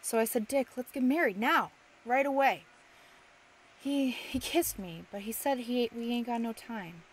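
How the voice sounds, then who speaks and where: A woman's voice: on the high side, measured pace, lightly acted. A woman playing a tough, grieving young factory widow in an old-time radio drama, brusque, slangy and impatient. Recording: noisy amateur radio play recording.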